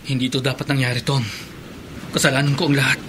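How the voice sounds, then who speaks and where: A man's voice: medium pitch, level tone, fast, background noise, natural voice. An actor playing a guilt-ridden, anxious man in a radio drama. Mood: guilty.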